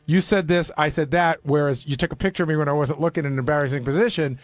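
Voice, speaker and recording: Fast-talking male radio host. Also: on the high side, fairly even intonation, no echo. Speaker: radio wrap-up show host. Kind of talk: moderating call-in talk.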